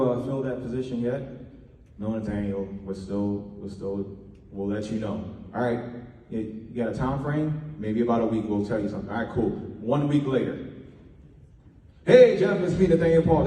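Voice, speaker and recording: A man's voice: mid-range voice, dark tone, distant mic, slight background noise. Man from Trinidad speaking in a roomy hall. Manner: earnest, emphatic, with repeated phrases. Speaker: speaker at a media workshop. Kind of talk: motivational talk to an audience.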